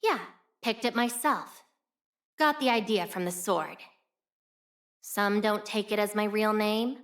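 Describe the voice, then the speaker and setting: A woman's voice: mid-range voice, slow pace. A woman voicing a proud, blunt young female fire warrior for a video game, with cool, cocky, nonchalant delivery. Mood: fond, firm. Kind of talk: scripted game character voice lines.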